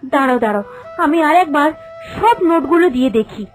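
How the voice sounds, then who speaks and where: Very high-pitched, dramatic young cartoon wife, played by a woman. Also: lively intonation, brisk, faint music behind. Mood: panicked. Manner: theatrical, complaining, emphatic. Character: greedy, headstrong young wife, lazy and dramatic. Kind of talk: Bengali cartoon fairy tale voice acting.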